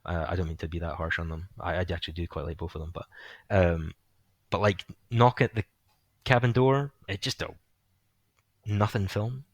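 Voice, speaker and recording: A young man hosting a casual film podcast, rambling with lots of fillers, his voice going hoarse. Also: deep voice, fairly even intonation, moderate pace. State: voice getting hoarse. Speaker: podcast host.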